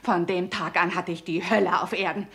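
A woman's voice: on the low side, level tone, brisk, fairly bright tone. A woman playing an embittered, weary and defiant film star confessing to murder in a 1960s German radio crime drama, with a theatrical, sarcastic edge. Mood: defiant, bitter.

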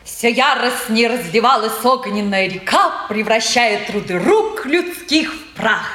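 Sarcastic high-voiced young woman. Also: animated, a little slow, a bit bright. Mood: mocking. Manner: sarcastic, theatrical. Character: sharp-tongued, mocking young watchmaker's daughter. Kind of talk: 1950s Soviet stage drama acting. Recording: 1950s roomy theatre recording.

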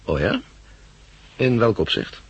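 An actor playing a brisk, authoritative head of research with a probing, businesslike manner in a 1960s Dutch radio drama. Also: male voice, on the low side, expressive, breathy edge, dull audio, full character voice. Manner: probing, businesslike, clipped questioning.

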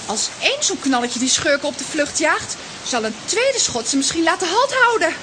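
Casting: bossy little comic-strip girl, very high and lively, scolding, exclamatory, noisy rainy recording. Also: moderate pace. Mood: agitated. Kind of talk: children's audio drama acting. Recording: noisy audio with rain behind.

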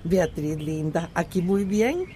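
A kindly old grandmother in a radio serial. Her voice is mellow and soft-edged, with a teasing, affectionate manner. There is faint ambience behind her. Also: lowish voice, lively intonation, slow pace, a little hiss or hum. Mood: warm, teasing. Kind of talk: radio drama acting.

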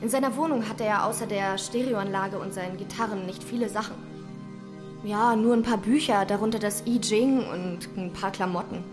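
A woman's voice: medium pitch, moderate pace, music in the background, close to an everyday voice. A woman acting a matter-of-fact witness who recalls a troubled friend in a German radio play.

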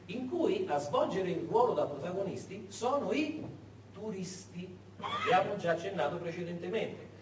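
A man telling history as a dramatic stage monologue, emphatic, with pauses and stretched words. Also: high voice. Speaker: stage actor and narrator. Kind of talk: theatrical historical storytelling, monologue. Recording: echoey stage, distant, faint noise.

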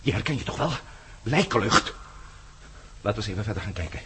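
An actor playing a bold, stubborn adventurer in a radio play, with an urgent, clipped way of talking. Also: male voice, on the low side, lively intonation, quick pace, lightly acted. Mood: grim, fascinated. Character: bold, stubborn male adventurer prying into gruesome secrets. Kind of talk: Dutch radio play acting. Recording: noisy old radio drama recording.

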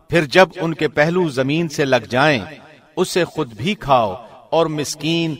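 A man with a fairly high voice reads scripture translation aloud. His delivery is formal and measured, in the declamatory manner of a radio presenter. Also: fairly bright tone. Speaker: translation reader, radio host. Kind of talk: scripted religious narration. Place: studio.

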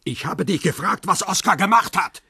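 An actor playing a hard, bad-tempered man interrogating someone in a radio crime drama, husky, terse and relentless. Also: high voice, animated, normal speed, pushed and bright, no room sound, studio quality, heavily acted. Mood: insistent, threatening. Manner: terse, insistent questioning.

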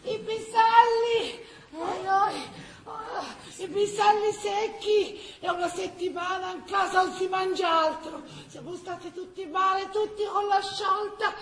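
A frightened wartime countrywoman with a very high, whining voice. She pleads in agitated, earthy bursts, as in radio theatre. The room echoes, with faint noise. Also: a little slow. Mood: pained, frantic.

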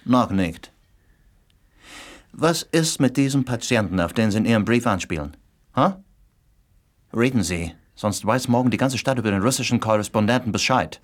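An actor in a German radio drama playing a threatening, suspicious foreign correspondent who needles and probes sardonically. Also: male voice, on the low side, animated, moderate pace, pauses often. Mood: menacing.

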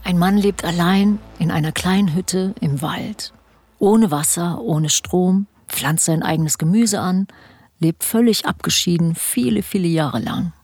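A woman with a husky, breathy voice presenting a scripted true-crime introduction in a suspenseful storytelling manner. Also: fairly low pitch, darkish tone, dry recording, studio quality. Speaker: podcast presenter.

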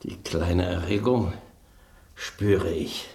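An actor playing a vain, refined old red wine who speaks pompously and savours words, in a German radio drama. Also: male voice, deep voice, steady tone, very slow, darkish tone, roomy sound, heavily acted. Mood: smug.

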